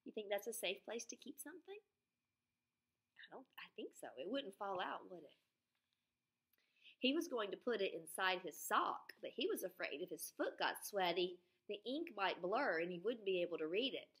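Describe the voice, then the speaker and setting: A woman with a bright, clear-cut voice reads a children's book aloud in a teacher's manner. She is expressive and breaks off often to explain things simply or put questions to her young listeners. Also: medium pitch, normal speed.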